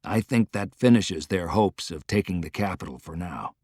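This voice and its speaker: A man voicing a quiet, decisive general in an audiobook, clipped, understated and commanding. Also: low-pitched, moderate pace, breathy edge, dry recording, studio quality. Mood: grave, measured. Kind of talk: audiobook character dialogue.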